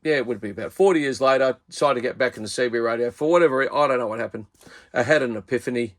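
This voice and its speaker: A man's voice: medium pitch, racing. An Australian man in his fifties, a radio hobbyist doing a casual video review in a rambling, laid-back way and using plenty of slang.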